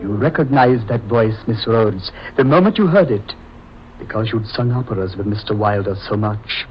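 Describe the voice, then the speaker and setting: An actor playing a sinister, boastful mad scientist in a 1950s radio horror play, theatrical, deliberate and menacingly polite. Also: male voice, lowish voice, natural voice. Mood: coldly gloating. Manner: theatrical, deliberate, condescending, grandiose. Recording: muffled, noisy old radio recording.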